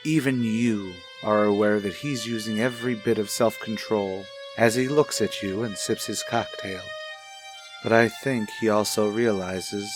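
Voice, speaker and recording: Man as a hushed, grave radio-soap narrator. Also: on the low side, fairly even intonation, measured pace, over music, close to an everyday voice. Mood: grave, confiding. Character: male soap narrator, intimate, grave, addressing the heroine directly.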